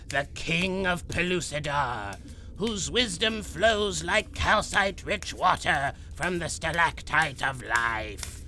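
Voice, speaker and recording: A man's voice: high voice, pushed and bright, a little hiss or hum, heavily acted. An actor playing a grandiose mole-man court bailiff in an adventure audio drama, proclaiming with pompous ceremony. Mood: ceremonious, proclaiming.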